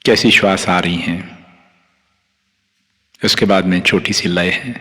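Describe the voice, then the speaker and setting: A man with an Indian accent guiding a meditation as a teacher, calm, unhurried and soothing, leaving long pauses between phrases. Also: low-pitched. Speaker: meditation teacher, Reiki master guiding yoga nidra. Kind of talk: guided meditation, instructing listeners. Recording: long hall-like reverb on the voice.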